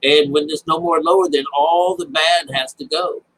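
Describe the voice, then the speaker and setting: A man with a husky voice giving informal, unscripted Bible commentary, rambling, repeating phrases and checking in with his listeners. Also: fairly high pitch, fairly even intonation, moderate pace, fairly bright tone, poor audio. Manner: rambling explanation with frequent check-ins like "all right?" and "okay?". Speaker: Bible teacher.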